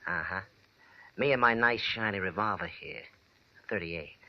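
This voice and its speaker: An actor playing a smug, menacing enforcer in a 1940s radio crime drama, taunting with mock friendliness. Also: male voice, fairly low pitch, unhurried, lightly acted. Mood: smug menace. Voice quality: nasty. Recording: dull old radio recording.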